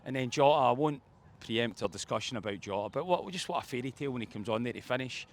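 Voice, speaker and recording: A man's voice: mid-range voice, lively intonation, fast talker. A man giving effusive, opinionated post-match football reaction as a fan pundit, speaking in wordy stretches. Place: outdoors outside a football stadium. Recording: outdoor street noise behind.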